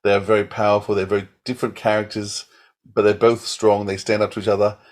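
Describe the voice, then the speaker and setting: A man's voice: fairly low pitch, little pitch movement, fast. A man giving his opinions as a podcast panelist, often repeating words as he starts a thought. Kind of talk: casual opinionated discussion.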